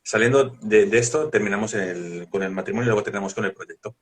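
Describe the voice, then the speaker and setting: A man, a guest evangelist, telling his story in a relaxed, good-humored way. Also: lowish voice, fast talker. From Colombia. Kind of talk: personal testimony in conversation.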